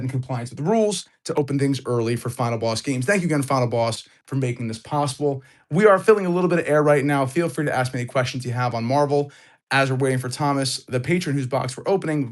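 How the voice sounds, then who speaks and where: A man hosting a live card-opening stream, excitable and hyped, greeting viewers, his voice a little off as he recovers from illness. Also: medium pitch, fast.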